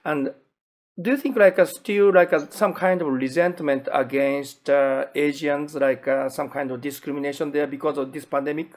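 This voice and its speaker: A male interviewer who is a native Japanese speaker, with a Japanese accent in English. His voice sits a little high, and he puts his questions casually, feeling his way through the phrasing. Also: fairly even intonation, moderate pace.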